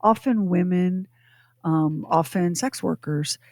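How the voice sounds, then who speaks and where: A woman co-hosting a radio show, talking casually and explaining things in emphatic, deliberate phrases. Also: lowish voice, a little mellow, no room sound. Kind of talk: casual conversational podcast talk.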